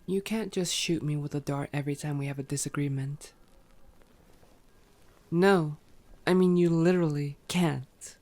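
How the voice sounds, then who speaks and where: A woman acts out a female vampire with a lowish voice and an unhurried, drawling delivery. She is sardonic and teasing, grumbling in exasperation and swearing casually, in the style of an ASMR roleplay. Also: darkish tone. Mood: teasing, sarcastic. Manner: sarcastic, exasperated, casually profane.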